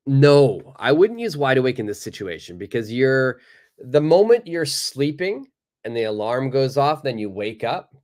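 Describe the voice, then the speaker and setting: A male native English speaker teaching a live online English lesson, answering viewers' questions in a relaxed, chatty way with lots of fillers. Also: medium pitch. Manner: relaxed and conversational, many fillers, acts out little examples.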